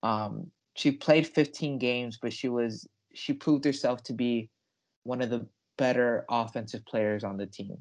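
A man's voice: medium pitch, steady tone, a little slow. A young man co-hosting a sports podcast, talking casually with emphatic, enthusiastic delivery.

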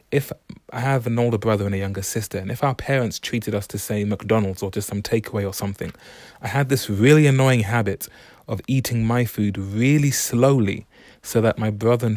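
A young man hosting a solo podcast, talking in a relaxed, reflective, unhurried way. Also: on the low side, no echo. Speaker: podcast host. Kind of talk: casual solo podcast monologue.

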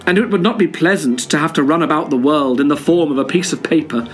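Male narrator, classic fable reading, measured and moral, mid-high voice. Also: soft background music. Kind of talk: storybook reading.

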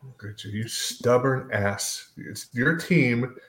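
A man's voice: mid-range voice, takes it slowly, a bit bright. A man co-hosting a sports podcast, chatting casually in a blunt, bantering way. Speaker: podcast co-host.

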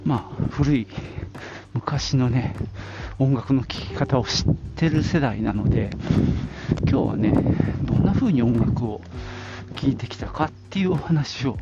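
A man's voice: mid-range voice, lively intonation, unhurried, highs cut off, loud music behind the voice. A middle-aged man from the Nagoya area of Japan, talking casually on his own as a podcast host, in an informal, rambling way with little asides. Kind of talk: casual solo reminiscing.

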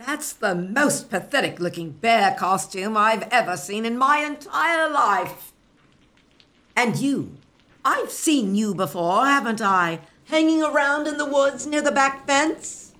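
Woman playing a cantankerous, suspicious guardian aunt, animated voice. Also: a little high, takes it slowly, full character voice. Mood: suspicious, brusque. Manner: brusque, scornful, suspicious. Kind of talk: comic audio drama acting.

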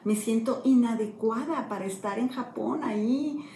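A middle-aged woman pastor, telling a personal testimony earnestly and slowly. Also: fairly high pitch, gravelly, dark tone, a little hiss or hum. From Mexico. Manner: earnest storytelling, slow and emotional. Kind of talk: sermon-style testimony. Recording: echoey room, faint ambience.

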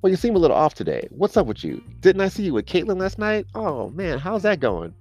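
Male narrator as clueless chummy office guy for audio comedy; pitched high, pushy, slangy, overfamiliar. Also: wildly swooping pitch, a little fast, a little mellow, lo-fi sound, faint music behind, exaggerated voice. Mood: chummy, nosy. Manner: overfamiliar, rambling, peppering talk with bro-style slang. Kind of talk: comic audio fiction dialogue.

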